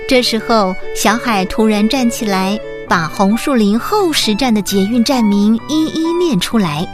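A woman narrating a children's school radio play in Taiwanese Mandarin, expressive and addressed to young listeners. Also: a little high, a little slow. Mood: gentle storytelling. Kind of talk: scripted children's story narration. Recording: music behind the voice.

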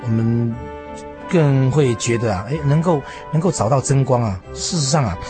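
A man's voice: mid-range voice, moderate pace. Male preacher explaining scripture, music behind. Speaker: preacher, interview guest.

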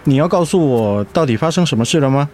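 An actor in a Mandarin radio drama playing a devoted, protective young husband who speaks in a tender, coaxing, sincere way. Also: male voice, medium pitch, lively intonation, quick pace, a little mellow, a little hiss or hum, natural voice. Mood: concerned, probing.

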